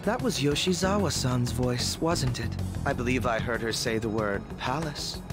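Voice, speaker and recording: A man's voice: medium pitch, moderate pace, over loud music, close to an everyday voice. A young man voicing a coolly polite, shrewd detective in a video game, articulate and analytical. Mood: smoothly probing.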